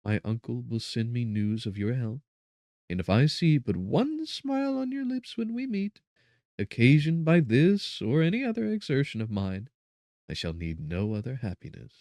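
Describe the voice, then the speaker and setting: A man's voice: medium pitch, big pitch swings, moderate pace, darkish tone, no room sound, studio quality. A man voicing a devoted, anxious young woman in an earnest, pleading dramatic audiobook reading. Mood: gentle, reassuring.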